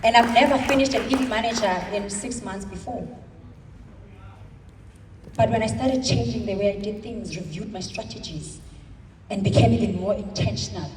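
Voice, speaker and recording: A South African woman in her thirties giving an emphatic motivational speech at a convention, stretching her words into slow dramatic pauses. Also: medium pitch, noisy background. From South Africa, Eastern Cape. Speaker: convention speaker. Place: large hall. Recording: echoey hall, speaker far from mic.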